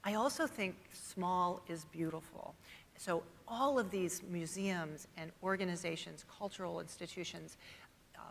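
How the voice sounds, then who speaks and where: A woman's voice: fairly low pitch, unhurried, creaky. A woman moderating a conference panel on stage, chatting casually with fillers and putting questions to her guests. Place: large conference hall stage. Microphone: stage microphone. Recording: reverberant hall, faint ambient noise.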